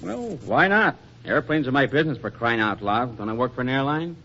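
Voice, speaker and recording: An American actor playing an oblivious, defensive young husband in a 1940s radio comedy, with a colloquial, hesitant manner. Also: male voice, mid-range voice, animated, brisk, bright, forceful tone. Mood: defensive, irritated. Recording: dull, noisy 1940s radio recording.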